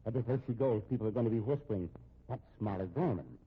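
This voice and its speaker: An actor playing an anxious, respectable American husband in a 1950s radio drama, speaking in a measured, pointed way. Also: male voice, mid-range voice, slightly rough. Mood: bitter, pointed. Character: respectable, anxious, shrewd husband held hostage at home. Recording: muffled, tinny old radio recording.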